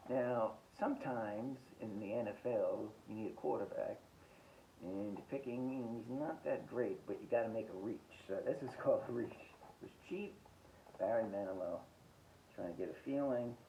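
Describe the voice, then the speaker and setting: Low gravelly man, casual reviewer. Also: dark tone, lo-fi sound, slight background noise. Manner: halting, listing titles with blunt short verdicts. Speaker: host of a music collecting podcast. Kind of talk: casual rambling record collection review.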